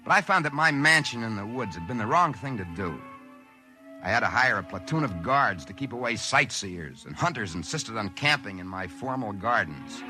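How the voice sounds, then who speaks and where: An actor playing a brash, greedy, excitable man in a 1950s radio drama, speaking colloquially in an exclamatory, indignant way. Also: fairly low pitch, normal speed, lightly acted. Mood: smug narrating. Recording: old 1950s radio recording with music.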